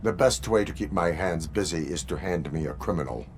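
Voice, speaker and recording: A man playing a stern, dutiful cyborg police officer in a video game, speaking in a clipped, deliberate deadpan. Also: deep voice, a little hiss or hum. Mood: stern, demanding.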